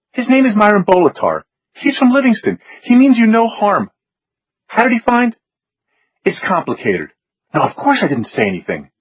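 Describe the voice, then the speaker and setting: A man's voice: high-pitched, husky, no echo, highs cut off, voice pushed up, full character voice. A man voicing a weary, anxious middle-aged mother pleading with her daughter on the phone.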